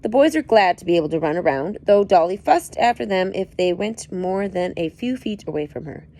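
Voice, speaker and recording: Reader reading a book chapter aloud, lively up-and-down melody, playful asides. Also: female voice, on the low side, fairly quick, rough recording.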